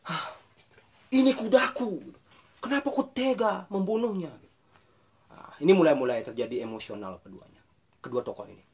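Husky-voiced young man telling a folk tale. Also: mid-range voice. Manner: lively, chatty, many fillers and restarts. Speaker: storyteller. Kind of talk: casual folk-tale storytelling. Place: room with bookshelves, indoors.

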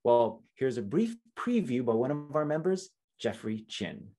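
A man's voice: medium pitch, big pitch swings, unhurried, mellow. A middle-aged man hosting a virtual event, addressing viewers informally and courteously. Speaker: emcee, host. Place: indoors, small room with plain backdrop.

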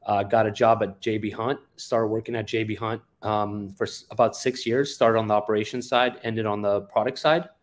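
A man's voice: lowish voice, steady tone, normal speed, hardly any pauses. A man in his forties from Afghanistan, a founder telling his background on a video call with many ums and uhs. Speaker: guest, company founder. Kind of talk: interview storytelling. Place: office indoors. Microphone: webcam on a video call.

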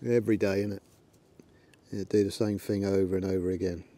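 Relaxed middle-aged man, slightly low voice, casual outdoor vlog talk. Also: brisk, noisy background. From England. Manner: chatty, relaxed, talks to his dogs. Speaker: air rifle shooting vlogger. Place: outdoors in a windy field by a pond. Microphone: camera on a tripod, then handheld.

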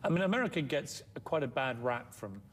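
A man's voice: mid-range voice, animated, a little fast, a little hiss or hum. A man hosting a TV talk show, bantering and teasing his guest. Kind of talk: chatty TV interview. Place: TV studio set with leather armchairs. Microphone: lapel mic.

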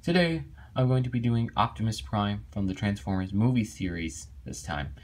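A man's voice: on the low side, normal speed, darkish tone. A man hosting an amateur voice-acting video, talking casually to his viewers. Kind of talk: casual intro and sign-off to viewers.

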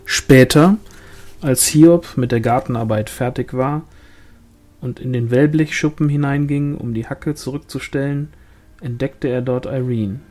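A man's voice: medium pitch, a little slow, darkish tone. A man reading a fantasy novel aloud as scripted literary narration. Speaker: audiobook reader.

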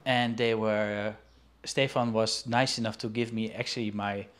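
A man in his forties from the Netherlands, talking in a casual interview from a shelf-lined room over a video call, pausing often with fillers and restarts. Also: on the low side, level tone, fairly bright tone. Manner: halting, with frequent fillers and restarts. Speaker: guest artist and angler. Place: indoor studio room with shelves.

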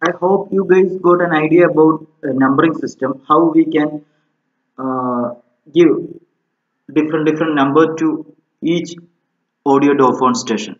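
A man with a Malayali Indian accent explaining a technical tutorial informally, step by step and haltingly, often saying okay, recorded indoors with a dull, roomy sound. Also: a little high. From Kerala, India. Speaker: electronics installation instructor.

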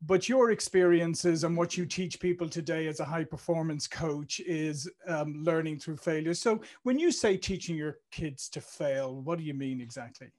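A man's voice: a little high, normal speed, hardly any pauses, a little mellow. A middle-aged man with an Irish accent, hosting a video-call interview and chatting with plenty of fillers and restarts. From Ireland. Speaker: interview host. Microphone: studio mic on a video call.

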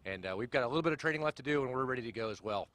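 A middle-aged man speaks quickly into a handheld mic at an outdoor press briefing. The sound is dry and close, and he leans on "uh" fillers as he talks. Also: mid-range voice, lively intonation, a bit bright, dry recording. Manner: friendly, fast, many "uh" fillers. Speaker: mission commander at a press briefing. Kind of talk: outdoor press conference remarks. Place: outdoors at a launch pad.

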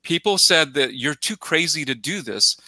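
A middle-aged man giving casual film analysis as a podcast guest, halting and often starting phrases over. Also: fairly high pitch, animated, bright, forceful tone, no room sound. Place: plain room at home, on a video call. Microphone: headset.

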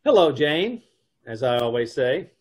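A middle-aged actor playing a wry, wisecracking husband who narrates and acts in an old-time radio comedy over a video call. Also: male voice, medium pitch, very animated, measured pace. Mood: nervous. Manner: dry, wry.

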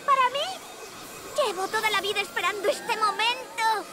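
A woman's voice: very high voice, moderate pace. A woman with a Castilian Spanish accent voicing a scheming, haughty young girl villain in a cartoon dub. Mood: gloating. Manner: haughty, theatrical.

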